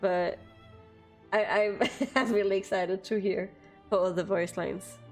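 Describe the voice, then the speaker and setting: Give me a young woman with a Danish accent, unhurried and laughing as she chats to her stream. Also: mid-range voice, fairly even intonation, faint music behind. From Denmark. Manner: giggly, chatty, laughs often between phrases. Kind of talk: casual live-stream chat with viewers.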